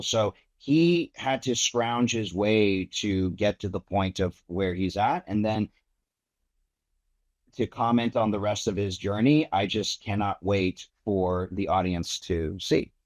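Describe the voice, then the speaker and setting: A man's voice: fairly low pitch, darkish tone. A young man from Miami, an actor giving earnest interview answers with many fillers and restarts. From Miami, United States.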